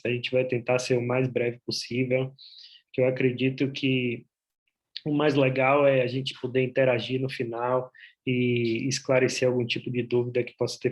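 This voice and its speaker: A man from Bahia, a gynecologist with a Bahian accent, giving an explanatory talk over a video call, often pausing on "é". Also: mid-range voice. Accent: Bahian Portuguese. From Bahia, Brazil. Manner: explanatory, with frequent "é" fillers. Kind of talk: online medical presentation.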